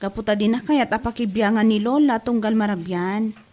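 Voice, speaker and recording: A woman's voice: medium pitch, moderate pace, mellow, lightly acted. A woman with an Ilocano accent playing an anxious, reproachful young daughter in an audio drama. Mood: worried complaining.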